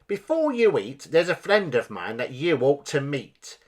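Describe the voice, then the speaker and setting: A man's voice: on the high side, sing-song, unhurried, voice pushed up. A man voicing a small, sly male mouse who coaxes and bargains in a storybook. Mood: coaxing.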